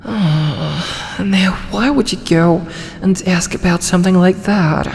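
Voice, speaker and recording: Woman acting as a tsundere dragon lady, ASMR roleplay, teasing and possessive, soft near-whispered coaxing, very slow pace, long cave-like reverb. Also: fairly low pitch, a little hiss or hum. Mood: chiding, relenting. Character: huge tsundere female dragon, possessive, flirty, doting captor.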